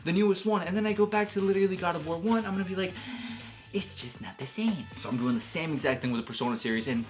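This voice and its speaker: A young man giving casual, emphatic video-essay commentary on games, with slangy phrasing and drawn-out stressed words. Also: high-pitched. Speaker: video game reviewer, host. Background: background music.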